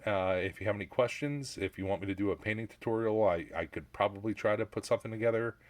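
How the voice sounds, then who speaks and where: A middle-aged man chatting casually about his hobby after a few beers, rambling and halting with mild swearing. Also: fairly low pitch, no room sound. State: has had a few beers. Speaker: hobbyist prop builder presenting a show-and-tell. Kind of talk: casual, unscripted hobby chat.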